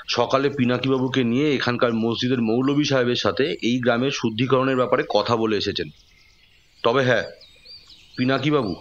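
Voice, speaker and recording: A man acting as a calm, authoritative occultist in an audio drama, giving measured advice. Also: mid-range voice, close to an everyday voice. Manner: measured, advising. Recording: muffled audio with music underneath.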